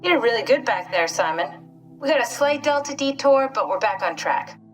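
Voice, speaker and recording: A woman's voice: a little high, sing-song, normal speed, soft background music. An actress playing a wry, pragmatic woman scientist in a video game, with a matter-of-fact manner. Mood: upbeat, assured.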